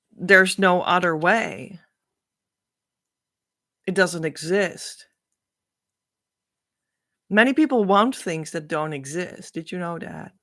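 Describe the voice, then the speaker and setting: Slow-speaking woman teacher. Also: lowish voice, a bit bright. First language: Dutch. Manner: earnest, reassuring, unhurried. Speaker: spiritual teacher. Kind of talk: spiritual teaching talk.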